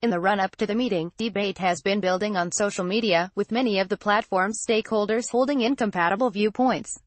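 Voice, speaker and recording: A woman's voice: mid-range voice, fairly quick, no room sound. A woman reading a scripted news article aloud in a formal manner, as a narrator.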